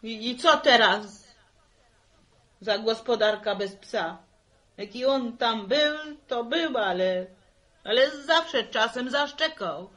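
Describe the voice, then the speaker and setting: A fretful country mother in an old Polish radio drama. Her voice is high and sharp, and she exclaims and laments in an unhurried, drawn-out way. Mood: lamenting. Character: Aniela, fretful warm-hearted farm mother. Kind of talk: 1960s Polish radio serial acting.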